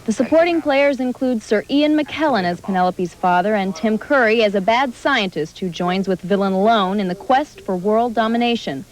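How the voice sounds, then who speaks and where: A woman's voice: medium pitch, moderate pace, hardly any pauses, low-quality recording. A woman reading scripted promotional narration as an entertainment news reporter.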